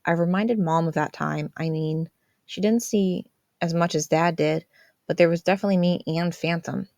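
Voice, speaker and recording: A woman's voice: fairly low pitch, fairly even intonation, moderate pace, a little mellow, no room sound, muffled recording, lightly acted. A woman playing a sheepish, evasive teenage boy in a story, talking casually with some hesitance and defensiveness. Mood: confident, casual.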